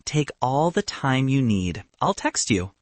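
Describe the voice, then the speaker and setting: A man's voice: mid-range voice, very animated, measured pace, no room sound. Man voicing a breezy, coaxing young ex-girlfriend. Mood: confident. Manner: casually dismissive, coaxing. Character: breezy, manipulative young woman, confident ex-girlfriend.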